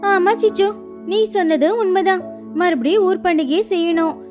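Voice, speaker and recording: A cheerful lady parrot acted by a woman in a village bird cartoon. She is agreeable and very high-pitched, with music behind. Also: moderate pace. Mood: eager, agreeable. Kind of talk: cartoon moral story acting.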